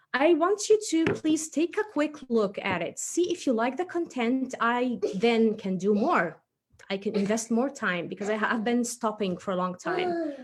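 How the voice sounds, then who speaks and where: A woman's voice: mid-range voice, slight background noise. A woman, perhaps in her thirties, hosts a casual craft session for children in a chatty, encouraging, self-deprecating way.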